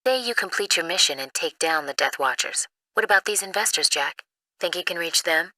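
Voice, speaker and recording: An actress playing an earnest, businesslike intelligence analyst in a video game, crisp and matter-of-fact. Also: female voice, on the low side, animated, fairly quick, sharp tone, no echo, rough recording. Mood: pressing. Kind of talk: video game cutscene acting.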